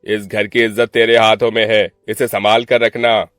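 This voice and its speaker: A man voicing a menacing, domineering young man with a harsh, commanding way of talking, in an animated story. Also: lowish voice, brisk, faint music behind, lightly acted. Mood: stern.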